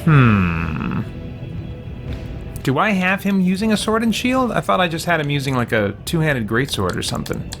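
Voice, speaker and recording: A man's voice: on the high side, sing-song, moderate pace. A man giving casual video game commentary as a let's play host, hesitantly thinking aloud. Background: game music.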